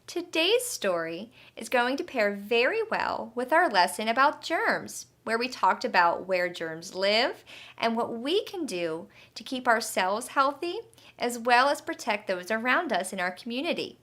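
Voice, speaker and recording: A woman with a bright, fairly high voice leads storytime for young children. She takes her time, explaining things simply and asking encouraging questions in an expressive teacher's manner. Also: a little slow. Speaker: children's health educator and storyteller. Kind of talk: children's lesson and read-aloud storytime.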